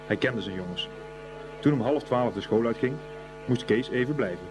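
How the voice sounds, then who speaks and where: A man's voice: medium pitch, moderate pace, over music, natural voice. A man narrating a scripted boys' school story for a radio play. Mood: storytelling.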